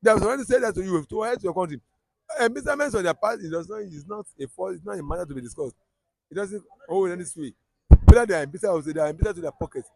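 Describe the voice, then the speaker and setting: Emphatic Nigerian man, high voice. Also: rapid-fire, vocal fry, a little mellow, no room sound, low-quality recording. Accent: Nigerian, Yoruba. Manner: emphatic, repetitive, stumbles and restarts often. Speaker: commentator. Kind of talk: heated political talk.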